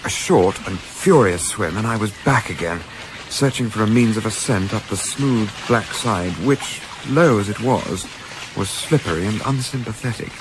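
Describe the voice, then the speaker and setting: An actor playing a polite, inexperienced British gentleman yachtsman in a radio play, with genteel, old-fashioned literary phrasing. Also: fairly low pitch, moderate pace, noisy background, natural voice. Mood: wry, measured. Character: polite, eager, inexperienced gentleman yachtsman narrating his memoir. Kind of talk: radio play narration and dialogue.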